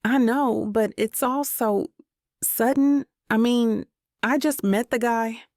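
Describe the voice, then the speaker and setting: A woman's voice: on the high side, steady tone, slow, a little mellow, dry recording, voice pushed up. A woman voicing a guarded, self-possessed heroine with dry wit in an audiobook. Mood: hesitant. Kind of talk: audiobook character dialogue.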